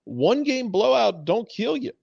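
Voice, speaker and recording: A man's voice: very high voice, sing-song, a little slow, creaky, darkish tone, no room sound. A man in casual sports chat as a panelist, blunt and repeating his phrases as he argues a point. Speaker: sports talk show panelist. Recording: dull, band-limited sound.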